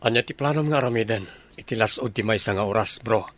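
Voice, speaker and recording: An actor playing a patient, reassuring young soldier with a Filipino accent in a radio drama. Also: male voice, on the low side, fast, fairly bright tone, natural voice. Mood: matter-of-fact.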